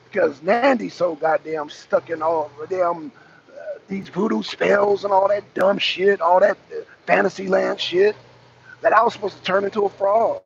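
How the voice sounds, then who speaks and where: A raspy-voiced man hosting a casual rant to his viewers from his truck, blunt and profane as he calls out rivals. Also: sits high, moderate pace, lo-fi sound, a little hiss or hum. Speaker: host. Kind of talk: casual rant to a live audience. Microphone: phone camera.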